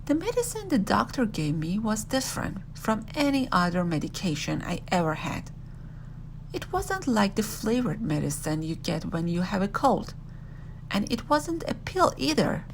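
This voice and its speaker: Animated woman reading a children's story, slightly creaky. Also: medium pitch, moderate pace, slight background noise, poor audio. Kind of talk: expressive read-aloud of a children's story, first-person narration.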